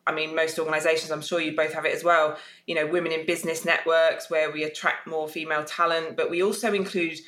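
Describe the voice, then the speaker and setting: A woman's voice: deep voice, little pitch movement, fast talker, hardly any pauses, a bit bright, echoey room. A woman speaking as a panelist, hesitant and full of filler words as she gathers her thoughts. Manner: hesitant, lots of "you know" fillers. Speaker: panelist, tech company newcomer. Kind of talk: panel discussion.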